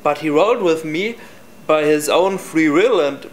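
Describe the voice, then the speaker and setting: Young man, German accent, halting English, slightly high voice, faint background hum. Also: low-quality recording. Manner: halting, searching for words in English. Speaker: interviewee. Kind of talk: casual interview answers.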